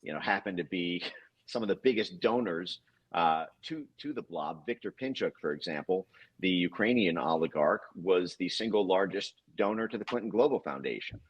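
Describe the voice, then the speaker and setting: A man with a deep, husky voice talks politics as an interview guest. He goes on at length in a halting way, stammering over repeated words. The audio is dull and lo-fi. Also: expressive. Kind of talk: political commentary in conversation.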